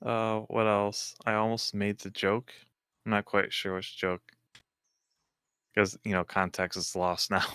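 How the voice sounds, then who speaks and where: A man with a very low, bright and crisp voice talks casually with friends on a game stream. He is chatty and laughs through his words. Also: little pitch movement, measured pace, no room sound. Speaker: streamer guest. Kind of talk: casual chat while gaming.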